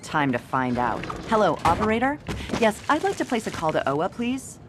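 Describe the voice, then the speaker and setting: A woman's voice: medium pitch, sing-song, normal speed, background noise, natural voice. A woman acting a confident, dryly witty investigative reporter in a video game cutscene. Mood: brisk, wry. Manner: confident, wry.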